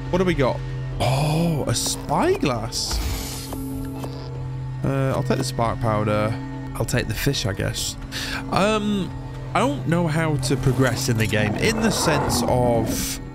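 A young man with a cold and a slightly nasal, blocked-nose voice, chatting excitably through a game playthrough. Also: medium pitch, slow, loud music behind the voice. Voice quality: a bit nasal. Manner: chatty, excitable, rambling asides. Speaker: gaming YouTuber. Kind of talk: casual let's-play commentary.

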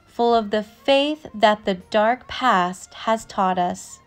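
Slow, measured woman reading a storybook. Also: mid-range voice, smooth, soft background music. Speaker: narrator reading a picture book aloud. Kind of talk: storybook read-aloud, scripted narration.

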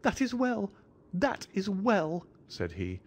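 A man voicing a jittery, fussy little man of about thirty, an anxious hypochondriac with a thin, high voice. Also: huge pitch swings, slow pace, breathy edge, full character voice. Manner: fidgety, effusive, fretful.

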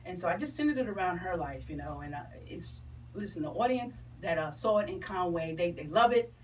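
A woman's voice: fairly low pitch, lively intonation, brisk. A middle-aged woman, chatting enthusiastically and emphatically as a guest in a promotional radio interview. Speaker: interview guest, entertainer and stage play producer. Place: small radio studio, camera across room. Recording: distant mic, noisy room ambience.

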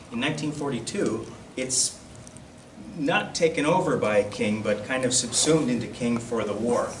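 A man with a lowish voice gives an informal presentation, picking his way haltingly through the material. He is picked up from across an echoey room, with clear noise behind him. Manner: hesitant, halting delivery with self-deprecating asides. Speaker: presenter at a collectors' society. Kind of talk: informal slide-show lecture. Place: classroom, indoors. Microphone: camera across the room. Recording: distant mic, echoey room, loud background noise.

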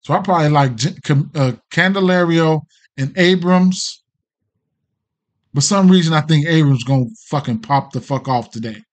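A man's voice: on the high side, moderate pace. A middle-aged American man hosting a casual betting livestream, rambling off the cuff with plenty of slang and swearing. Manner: slangy, profane, hesitant and rambling. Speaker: sports betting show host.

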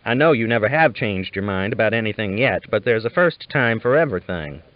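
A man's voice: on the low side, expressive, brisk, no echo, lightly acted. A man voicing a talkative, easygoing, teasing cowboy with folksy phrasing in an audiobook. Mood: wry, argumentative. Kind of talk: audiobook character dialogue. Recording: dull lo-fi audiobook recording.

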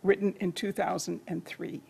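A woman's voice: on the low side, level tone, normal speed, vocal fry, darkish tone, slightly rough. An older woman, around her seventies, reading a poem aloud at a lectern in a library meeting room. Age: older, around 70s. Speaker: poetry reader.

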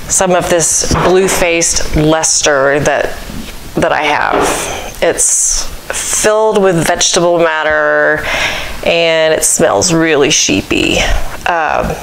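Lowish voiced woman chatting thru a craft how-to in her kitchen, kinda unhurried, noisy background. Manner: unhurried, thinking aloud while working. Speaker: craft vlogger demonstrating fleece washing. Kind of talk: casual hands-on tutorial chat. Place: home kitchen. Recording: clear background noise, household ambience.

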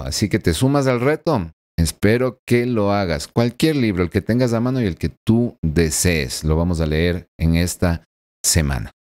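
A man in his forties reads tarot into a desk microphone in a small room at home. His voice sits low and sounds soft and mellow. He speaks earnestly and keeps checking in with the listener. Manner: earnest, addresses the listener directly with frequent tag questions. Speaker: tarot reader. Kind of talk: weekly horoscope tarot reading. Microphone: desk microphone in front of him.

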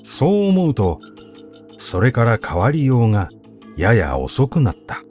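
Male narrator for literary recitation: slow, measured storytelling, highly varied pitch, faint music behind. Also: wildly swooping pitch, darkish tone. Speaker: narrator reading literature aloud. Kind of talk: literary recitation of a classic essay. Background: background music.

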